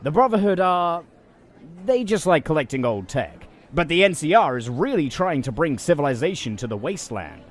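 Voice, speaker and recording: A courteous male official in an audio drama, acted by a man, with very lively intonation. He questions and explains methodically, in a reassuring, measured manner. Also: fairly high pitch. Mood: explaining, reassuring. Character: courteous, probing settlement official who explains the wasteland.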